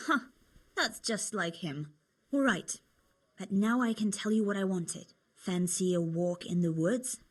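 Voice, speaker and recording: A woman's voice: mid-range voice, measured pace, close to an everyday voice. A young woman playing a cheerful, teasing medieval tavern girl in a video game, chatty and coaxing. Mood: friendly. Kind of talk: video game cutscene acting.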